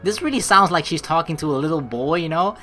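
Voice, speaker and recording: A young man with a bright, forceful voice and lively, swinging intonation plays through a game. He reads the narration aloud and drops in playful, casual asides, with music behind him. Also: fairly high pitch, fast. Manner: expressive, informal, playful asides. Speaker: let's play host.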